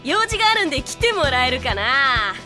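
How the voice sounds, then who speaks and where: A woman playing a hot-tempered, impatient tomboy with rough, blunt, masculine wording in an anime audio drama. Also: high voice, sing-song, brisk, music in the background. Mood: irritated.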